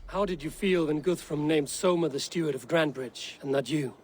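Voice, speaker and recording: Actor as direct, probing Viking man, higher-pitched. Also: a little mellow, slight background noise, natural voice. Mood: pressing. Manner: measured, direct, serious. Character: calm, probing Viking raider questioning suspects. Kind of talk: dramatic game dialogue acting.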